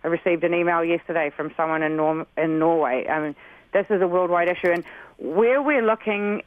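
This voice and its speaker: A man's voice: on the high side, brisk, dry recording. A man from New Zealand with a New Zealand accent, speaking earnestly and with frustration as a community spokesperson calling in to a radio show. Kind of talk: earnest phone-in interview. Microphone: phone. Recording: tinny phone line.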